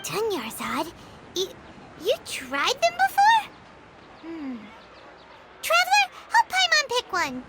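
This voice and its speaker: Very high squeaky fairy sidekick. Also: female voice, huge pitch swings, slow pace, long pauses, heavy background noise, exaggerated voice. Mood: curious. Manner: squeaky, childish, speaks of self in third person. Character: tiny excitable female fairy companion, childlike and blunt. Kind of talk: video game character acting. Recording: loud game music behind.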